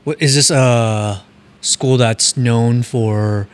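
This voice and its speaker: A man's voice: medium pitch, fairly even intonation, drawn-out words, smooth. A man hosting a casual podcast who reacts eagerly and keeps the talk moving. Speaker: podcast host.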